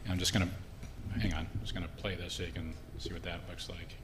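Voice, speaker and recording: A man moderating a conference panel, casual and halting, often repeating words. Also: sits low, little pitch movement. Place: conference hall. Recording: echoey room, faint hum.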